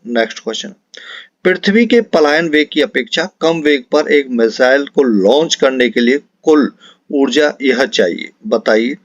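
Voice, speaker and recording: A male teacher leads an exam-prep quiz lesson in a mid-pitched voice. He is instructive and repeats questions and answers so they stick. The recording is dry and a little muffled and lo-fi. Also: unhurried, no room sound. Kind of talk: explanatory quiz lesson, talking to students.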